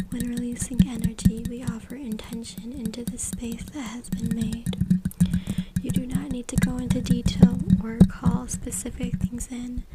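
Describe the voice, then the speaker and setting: A woman whispering breathily and softly in an ASMR style as she reads aloud, close to a USB microphone. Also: a little high. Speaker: ASMR content creator. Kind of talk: ASMR whispered reading from a book. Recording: very noisy close USB mic, clicks. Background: loud noise, tapping and scratching clicks.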